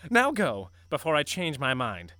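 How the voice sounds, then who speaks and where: A woman's voice: deep voice, wildly swooping pitch, a little slow, a bit bright, no room sound, clean studio sound, exaggerated voice. A woman playing a flamboyant, devoted mad scientist husband in an audio drama, with grandiose, melodramatic delivery. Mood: excited, doting.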